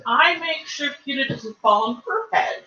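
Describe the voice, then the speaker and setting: High, lively woman player. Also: measured pace. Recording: echoey room, distant lo-fi mic.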